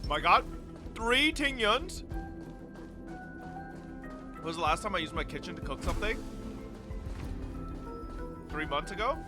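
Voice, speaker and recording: A man's voice: high-pitched, lively intonation, unhurried, pauses often, music in the background. A man streaming a game with casual, sweary, joking reaction commentary.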